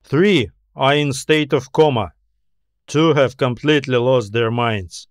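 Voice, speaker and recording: A man's voice: mid-range voice, a little slow, dry recording, clean studio sound, close to an everyday voice. A man voicing a self-assured, grim military colonel who gives formal, confident reports in a science fiction audiobook.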